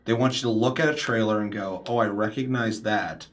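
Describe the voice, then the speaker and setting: A man's voice: fairly low pitch, fairly even intonation, brisk. A man hosting a casual panel discussion, ranting emphatically with heavy swearing and slipping into mimicked quotes of other people. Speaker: podcast host.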